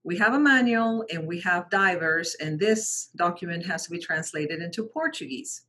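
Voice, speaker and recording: Husky low-voiced Mexican-born woman. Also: moderate pace. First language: Spanish. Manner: frequent restarts and repeated words, animated quoting of others. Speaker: guest, translation company founder. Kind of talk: conversational interview storytelling.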